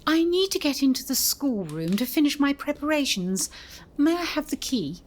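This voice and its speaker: A woman's voice: high-pitched, very animated, normal speed. A woman playing a prim, earnest governess in an audio drama, anxious and politely proper.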